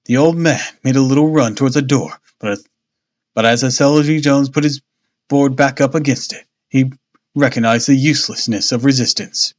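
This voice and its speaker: A man's voice: medium pitch, normal speed, muffled recording. A man casually narrating a novel on a livestream, stumbling, rereading and dropping jokey asides. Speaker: streamer reading a novel aloud. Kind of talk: casual live storybook narration.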